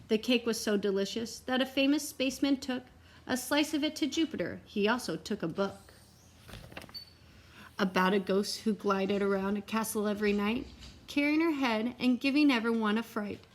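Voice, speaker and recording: A woman reading a picture book aloud to young children in an expressive way, with simple asides to her listeners. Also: on the high side. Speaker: read-aloud teacher and storyteller.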